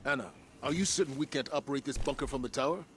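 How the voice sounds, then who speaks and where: A man playing a stern, skeptical, dutiful military commander in a video game, speaking in a grave, formal, measured way. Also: medium pitch, over music, close to an everyday voice.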